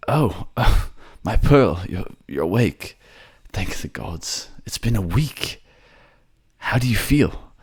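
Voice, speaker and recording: A man's voice: fairly low pitch, very animated, slow, husky, pitched above the performer's own voice, heavily acted. A man playing a kind, remorseful young prince in a fantasy audio drama, speaking hesitantly. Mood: tearful relief. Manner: hesitant, stammering.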